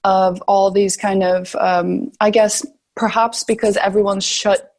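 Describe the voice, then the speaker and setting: A woman's voice: mid-range voice, level tone, measured pace, darkish tone. Female, young adult, academic podcast guest. Mid-range voice, conversational, thoughtful, hesitant delivery. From Turkey, grew up in the Balkans. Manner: thoughtful, hesitant, searching for words. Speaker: podcast guest, sociology researcher. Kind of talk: conversational interview answers.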